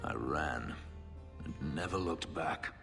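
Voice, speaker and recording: Very deep, brooding male fugitive. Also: creaky, a bit bright, full character voice. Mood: somber, guarded. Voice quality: low, grave voice. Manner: measured, solemn, halting confession. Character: brooding male elf fugitive, a former slave, bitter and guarded. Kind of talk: dramatic video game acting. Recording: long reverb, music behind the voice. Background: music.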